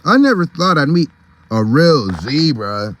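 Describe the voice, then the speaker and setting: Dreamy storybook donkey, played by a man: male, restless, casual, yearning, with very lively intonation. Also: fairly high pitch, measured pace, voice pushed up. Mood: amazed.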